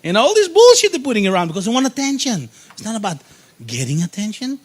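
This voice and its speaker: Middle-aged Israeli-born speaker, high voice, punchy and repetitive, workshop presenter. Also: male voice, quick pace. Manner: animated, emphatic, repeats key phrases. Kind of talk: motivational workshop talk.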